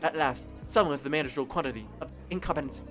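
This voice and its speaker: A man's voice: a little high, very fast, a bit bright, faint music behind, close to an everyday voice. A man reading the lines of a small, furious young woman who acts as a stiff, condescending superior. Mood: stern. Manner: stiff, formal, condescending wording. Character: tiny, furious, pompous young female superior.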